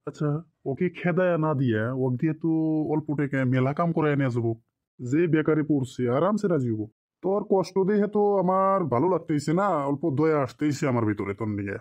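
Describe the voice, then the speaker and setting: A man as a callous, high-pitched bullying boss. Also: animated, quick pace, darkish tone, natural voice. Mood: scheming. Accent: rural Bengali dialect. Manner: scolding, dismissive. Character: callous, exploitative boss and his bullying henchman. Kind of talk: cartoon drama dialogue.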